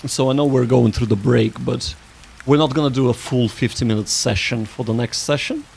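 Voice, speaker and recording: A man hosting a livestream, chatting casually with viewers in a halting, rambling way that often restarts sentences. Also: mid-range voice, creaky, darkish tone, a little hiss or hum. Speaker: livestream host.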